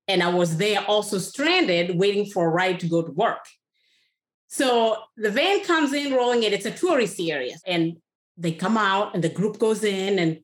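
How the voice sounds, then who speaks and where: A woman in her thirties telling a story in a casual, animated way, often laughing. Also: a little high, reverberant. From Kenya. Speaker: guest.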